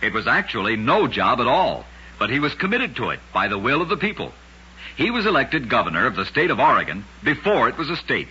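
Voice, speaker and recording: A man's voice: mid-range voice, big pitch swings, a little fast, pushed and bright, breathy edge. A man hosting and narrating scripted old-time radio trivia stories in a dramatic, emphatic manner. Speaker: radio show host and storyteller. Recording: dull, lo-fi old radio recording.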